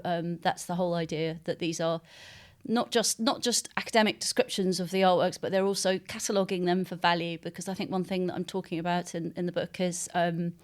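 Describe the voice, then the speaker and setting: A mature woman with a fairly low, smooth voice talks as an author in a literary interview. She is thoughtful and articulate, often pausing and softening her phrases as she finds the words. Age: middle-aged or older. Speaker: interviewee, novelist.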